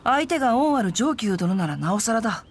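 A woman's voice: fairly high pitch, big pitch swings, a little fast, slightly rough, full character voice. A woman voicing a refined, chivalrous teenage boy swordsman in a Japanese game dub, polite and composed. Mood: firm. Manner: polite, composed, literary phrasing. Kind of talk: Japanese anime-style game dub acting.

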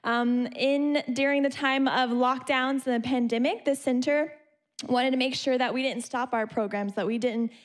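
A young woman with a Canadian accent, a youth center director speaking earnestly and softly into a handheld mic at a church, with many ums. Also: sits high, steady tone, normal speed. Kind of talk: guest talk at church. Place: church sanctuary stage.

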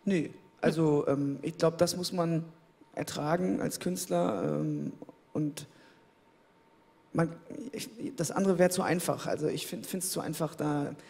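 A man in his thirties, interviewed on a studio stage, speaking into a handheld mic in a relaxed, thoughtful way, often repeating words as he searches for them. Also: a little high, unhurried, gravelly. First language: German. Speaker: interviewed pop singer. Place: indoor studio stage, seated in armchair. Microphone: handheld microphone.

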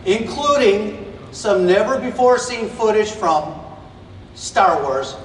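A middle-aged man with a fairly high voice delivers an earnest, enthusiastic stage presentation. His voice booms through a big arena, echoing around the hall. It was recorded from far back in the audience, with a faint hum of the crowd behind. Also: expressive, takes it slowly. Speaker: presenter at an expo panel. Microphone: stage mic recorded from the audience.

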